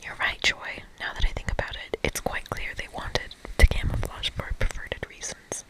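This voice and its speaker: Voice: normal speed, fairly bright tone, lightly acted, rough recording. Whispering, pompous camp counselor played by a game reader. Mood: earnest. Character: proud, earnest camp counselor, master of disguise.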